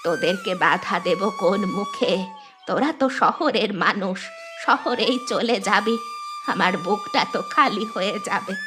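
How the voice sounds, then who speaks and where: A woman playing a gentle, sorrowful elderly village grandmother in a cartoon, with a soft-hearted, pleading manner. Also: on the high side, huge pitch swings, measured pace, over music. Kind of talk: Bengali cartoon voice acting.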